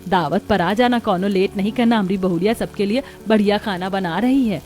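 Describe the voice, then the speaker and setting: A woman's voice: mid-range voice, fairly quick, a little mellow, close to an everyday voice. A woman voicing a kindly, proud village mother-in-law with homely rustic speech in a cartoon story. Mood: proud, defensive.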